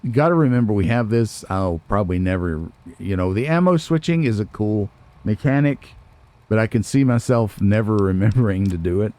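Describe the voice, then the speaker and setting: Low, mellow-voiced man musing over gameplay. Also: animated, brisk. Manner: musing, thinking aloud. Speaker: let's player. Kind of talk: casual gameplay commentary.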